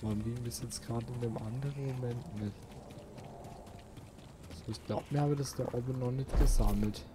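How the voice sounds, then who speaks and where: A man's voice: mid-range voice, fairly even intonation, moderate pace, long pauses, noisy background. A man giving casual, grumbling let's play commentary as he plays a video game. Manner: grumbling, mild swearing at the game.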